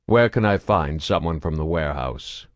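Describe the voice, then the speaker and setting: A man's voice: rumbling low, lively intonation, normal speed, no echo, clean studio sound. A man doing a cold, curt alien interrogator. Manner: curt, quiet, menacing.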